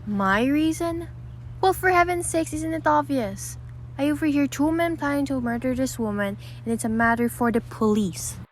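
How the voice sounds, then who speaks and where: A woman's voice: high-pitched, animated, moderate pace, a little hiss or hum. A woman playing an anxious, imperious, indignant married lady in an old-fashioned radio thriller, insistent and haughty. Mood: exasperated. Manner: insistent, haughty, overwrought delivery.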